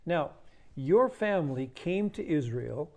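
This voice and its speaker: A man's voice: a little high, expressive, slow pace, a little mellow. A man hosting a conversational TV interview, explaining things to viewers and affirming his guest with brief agreement. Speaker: TV interviewer and host.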